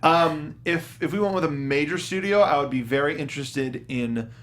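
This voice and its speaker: A man hosting a casual panel chat about video games, speaking haltingly and repeating phrases. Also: on the high side, fairly bright tone. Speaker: talk show host.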